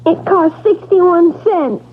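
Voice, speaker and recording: An actress playing a poor, earnest, stubborn little child in a plaintive, insistent way, in old-time radio drama style. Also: female voice, extremely high pitch, takes it slowly. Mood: hurt, defiant. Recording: muffled, noisy 1970s radio recording.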